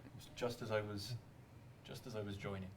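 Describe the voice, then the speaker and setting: A man with a slightly low voice talks at a fast clip in casual panel chat. There is faint noise and room ambience behind him, as on a live stream. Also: fairly even intonation. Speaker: game developer on a discussion panel.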